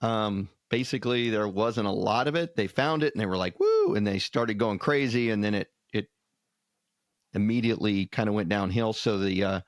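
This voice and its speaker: A man's voice: fairly low pitch, sing-song, moderate pace, dry recording, clean studio sound. A man co-hosting a podcast in casual chat, with a folksy storytelling manner. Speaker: podcast co-host.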